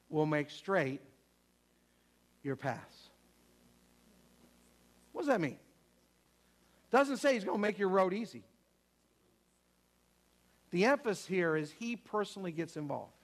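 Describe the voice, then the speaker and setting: A man preaching a sermon emphatically, repeating phrases and putting questions to the congregation. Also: high voice.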